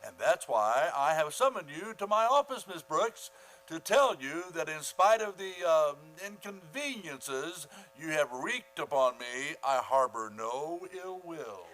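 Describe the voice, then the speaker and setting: A fussy school principal in an old-time radio comedy, played by a man. He is pompous and falsely gracious, talking in formal, halting phrases in a somewhat high voice. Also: lively intonation, fairly bright tone, low-quality recording. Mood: unctuous, martyred. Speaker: actor in a community radio play.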